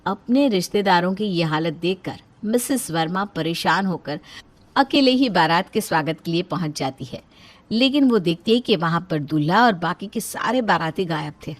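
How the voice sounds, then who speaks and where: A woman's voice: fairly low pitch, lively intonation, normal speed. A woman narrating a scripted comic story as a storyteller.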